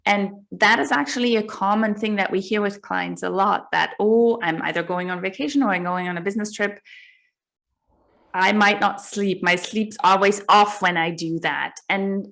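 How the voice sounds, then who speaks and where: A woman's voice: medium pitch, moderate pace, gravelly, a bit bright. A woman hosting a podcast, asking her guest questions in a friendly, easygoing way with many fillers. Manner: friendly, many fillers, speaks in long running sentences. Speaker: podcast host. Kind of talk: casual podcast interview.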